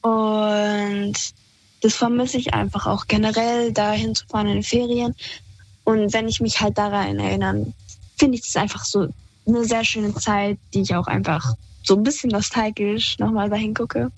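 A woman's voice: medium pitch, steady tone, moderate pace, poor audio. A young woman from Iran calling in to a radio show, talking casually and hesitantly with fillers and easy laughs. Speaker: caller. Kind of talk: casual phone-in chat.

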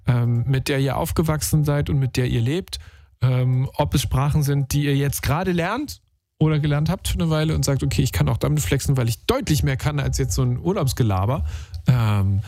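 A man's voice: mid-range voice, darkish tone, dry recording. A man hosting a radio call-in show, chatting casually in slangy, informal language.

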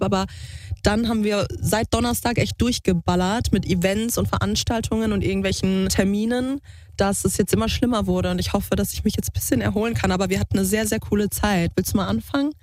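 Slightly hoarse young woman chatting. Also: fairly even intonation, fairly quick, darkish tone. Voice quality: slightly husky, lowered voice. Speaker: podcast co-host. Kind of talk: casual chat.

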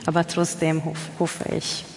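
A woman's voice: low voice, completely flat delivery, measured pace, vocal fry, heavy background noise. A woman with a Hungarian accent, a poet answering interview questions in a reflective, searching way, often restarting phrases. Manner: searching, restarts phrases, occasional article slips. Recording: long hall reverb.

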